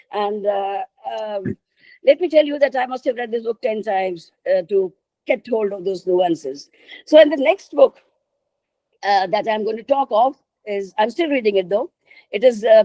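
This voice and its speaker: Woman lecturer, deliberate delivery, dry close sound. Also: a little high, dark tone, no room sound, poor audio. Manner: measured, repeats phrases for emphasis. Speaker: literature lecturer. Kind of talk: academic lecture.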